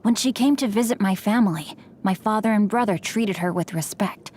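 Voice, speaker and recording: A woman's voice: medium pitch, moderate pace, lightly acted. Woman playing polite, playful young scholar-knight for game lines, faint noise behind. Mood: polite, composed. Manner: polite, formal and gently teasing. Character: refined young male swordsman scholar, courteous, playful.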